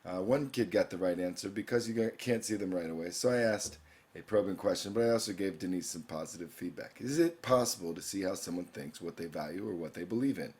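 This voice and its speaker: Male teacher, young adult, very low voice; plain explanatory screencast narration. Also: a little fast, a little mellow. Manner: plain explanatory, reading quiz questions aloud.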